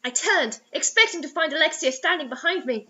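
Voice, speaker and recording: A young woman reads a story aloud in a high, bright voice. Her delivery is theatrical and sarcastic, breaking into mocking commentary. The sound is dull and lo-fi, like a webcam in a small room. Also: expressive, a little fast. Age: young adult. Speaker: co-host reading a story aloud.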